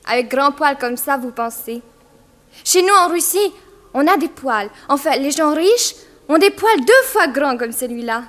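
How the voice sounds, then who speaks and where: Bold, high-voiced young immigrant girl. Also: expressive, measured pace, a bit bright. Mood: cheeky, chatty. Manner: cheeky, self-assured. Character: bold, proud eighteen-year-old Russian immigrant girl. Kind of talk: 1960s French radio drama acting.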